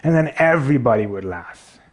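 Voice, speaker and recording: A man's voice: mid-range voice, animated, brisk. A young man with a Pakistani accent giving a prepared talk, wry and self-deprecating, with a light stutter.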